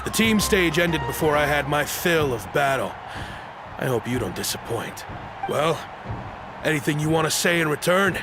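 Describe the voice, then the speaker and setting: A man's voice: a little high, animated, moderate pace, music in the background. A man voicing a confident, battle-hungry young fighter who talks in a challenging way in a video game.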